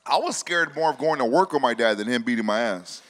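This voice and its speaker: Regular-pitched guy with a bright voice, cussing, saying dog every line, echoey room. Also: lively intonation, fairly quick. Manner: slangy, profane storytelling, keeps saying dog and fool. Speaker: podcast co-host. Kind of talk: casual chat. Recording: hall reverb.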